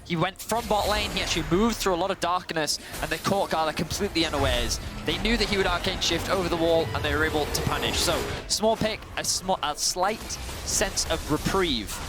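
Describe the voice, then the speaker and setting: A man whose first language is Welsh, giving measured analysis as an esports color commentator. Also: a little high. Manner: measured, analytical, self-deprecating asides. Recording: game music behind.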